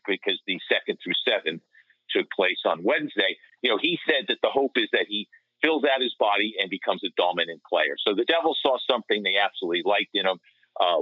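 A man's voice: on the low side, no room sound. A man giving conversational sports analysis over a remote line, explaining at length with small digressions, like a broadcaster on a panel. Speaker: hockey radio play-by-play announcer, podcast panelist. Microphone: remote call. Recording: dull lo-fi call-in line.

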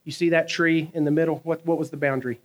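A man, preaching a sermon in a conversational, animated way, asking his listeners questions and telling stories from his own life. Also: a little high, fast. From American South. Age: 30s. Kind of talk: sermon with personal storytelling.

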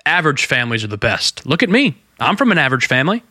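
An American actor playing an earnest young undercover detective, courteous but insistent, in a radio drama. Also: male voice, medium pitch, very animated, fast talker, sharp tone, no room sound, clean studio sound, heavily acted. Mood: friendly, casual. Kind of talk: old-time radio drama acting.

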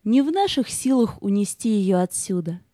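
Woman as a gentle, mellow-voiced guiding spirit. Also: medium pitch, animated, moderate pace, dry recording, lightly acted. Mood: reassuring. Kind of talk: fairy-tale audio play acting.

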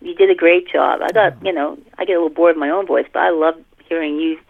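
A middle-aged woman talking casually in a podcast interview as an author, halting and often repeating and rephrasing her phrases. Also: low voice, fairly even intonation, gravelly. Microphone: telephone. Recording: muffled, tinny telephone line.

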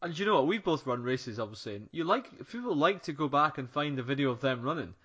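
A man's voice: on the high side, expressive, fast, fairly bright tone, husky, lo-fi sound. A man co-hosting a running podcast and interviewing a guest, chatty and rambling in casual chat.

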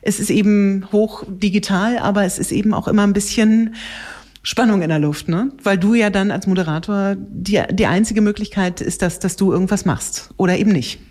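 A woman radio host chatting casually on live radio, telling things in an animated way. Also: medium pitch, normal speed.

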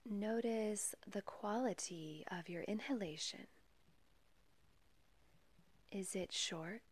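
A woman leading a guided meditation in a soft, breathy, partly whispery voice, calm and gentle, with long pauses between measured phrases. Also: medium pitch. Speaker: meditation guide and podcast host.

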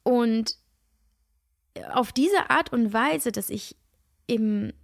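A woman's voice: on the high side, slow pace, vocal fry, no echo, studio quality. A middle-aged woman who is nasal from a cold, hosting a reflective solo podcast in an earnest, searching way with frequent restarts. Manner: earnest, searching, many restarts and soft asides. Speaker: podcast host and coach.

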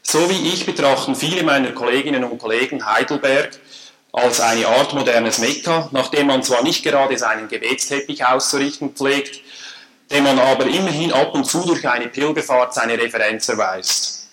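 A man's voice: mid-range voice, fairly bright tone, poor audio. Swiss-accented man giving a lecture. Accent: Swiss German. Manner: measured, dryly humorous, formal phrasing. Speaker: conference speaker. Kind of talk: academic lecture. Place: lecture hall.

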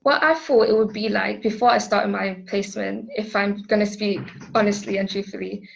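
Earnest teenage schoolgirl, gravelly edge. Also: medium pitch, steady tone, normal speed, lo-fi sound. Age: teens. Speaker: school pupil. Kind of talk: recorded testimonial.

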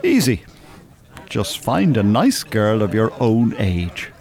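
A well-spoken older gentleman from a radio play. His pitch moves in a lively way as he talks, with formal, wordy phrasing and a dry, teasing manner. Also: takes it slowly, heavily acted. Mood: wry. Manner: formal, wordy, drily mocking. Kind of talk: radio drama dialogue.

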